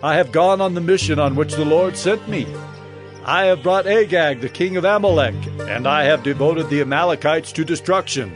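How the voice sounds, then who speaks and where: Male actor as proud warrior king, raised pitch, declamatory, self-justifying, scripture audio drama, light music. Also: moderate pace, lightly acted. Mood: defensive, insistent. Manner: declamatory, emphatic.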